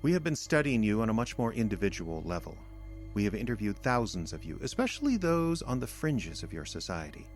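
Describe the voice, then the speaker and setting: A man playing a low-voiced, condescending alien invader. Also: very animated, soft background music. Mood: smug, gloating. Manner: measured, lecturing, smug. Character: condescending alien invader, cold, patient and contemptuous of humanity. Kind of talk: audio drama villain monologue.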